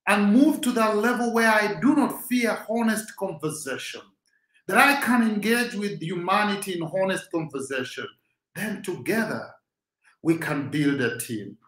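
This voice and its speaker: A man's voice: sits high, lively intonation, a little slow, a bit bright, roomy sound. A Kenyan man with a Swahili-speaking background, giving a rousing, preacher-like motivational talk as host.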